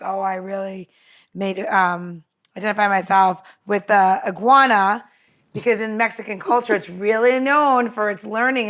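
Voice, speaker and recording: A chatty woman co-hosts a casual podcast conversation, talking briskly and warmly about everyday life. Her pitch sits in the middle range. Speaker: podcast co-host.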